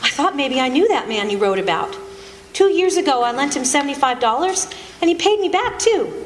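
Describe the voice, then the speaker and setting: A woman's voice: high voice, sing-song, a little fast. An actress playing a plain, forthright townswoman in a 1940s-style radio drama. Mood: earnest. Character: plain, forthright woman offering helpful evidence. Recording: noisy live stage, long hall reverb.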